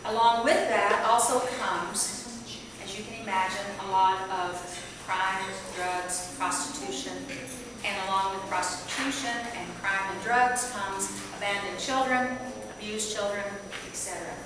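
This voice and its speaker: A middle-aged woman giving a rambling, chatty guest talk, projecting her voice loudly to a club meeting. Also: mid-range voice, steady tone, a little slow, noisy background. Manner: loud, projecting voice; chatty, rambling, self-deprecating. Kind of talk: informal speech to a club meeting. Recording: echoey hall from far off, audience.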